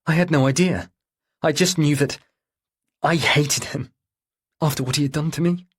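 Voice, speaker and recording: Man voicing a distressed teenage girl, pitched up. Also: moderate pace, breathy edge. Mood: upset, defensive. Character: distressed, quiet sixteen-year-old girl confessing to police.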